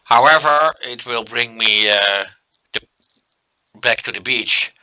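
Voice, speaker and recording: A man with a Dutch accent, a native Dutch speaker, giving relaxed gameplay commentary and thinking aloud with many fillers. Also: medium pitch, slow, clear, resonant tone, rough recording. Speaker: let's play commentator.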